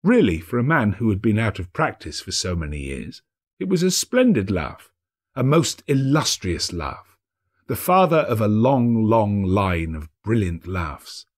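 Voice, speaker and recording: A male audiobook narrator reads classic fiction with dramatic expression. His pace is unhurried and his pitch moves very widely, with a husky grain to the voice. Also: sing-song. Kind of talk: theatrical literary storybook narration.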